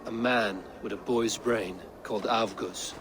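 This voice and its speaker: An actor playing a stern, terse Viking warrior who speaks in a measured, grave way. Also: male voice, lowish voice, fairly even intonation, noisy background, lightly acted.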